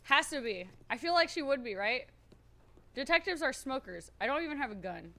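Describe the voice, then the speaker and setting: A woman streamer chatting loudly and nervously to her viewers, thinking out loud and swearing freely. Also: high voice, sing-song, a little fast. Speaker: streamer playing a roleplay character. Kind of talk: casual chat to stream viewers.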